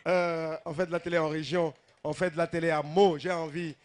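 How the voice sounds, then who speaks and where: A man's voice: fairly high pitch, little pitch movement, normal speed. A man emceeing a live stage show, formally greeting dignitaries and rallying the audience. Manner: formal announcer, hyping the crowd between acts.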